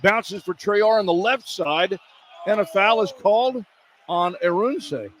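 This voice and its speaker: A man's voice: high voice, unhurried. A man doing live radio play-by-play commentary of a basketball game as the announcer. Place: courtside in a basketball arena. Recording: clear arena noise behind broadcast.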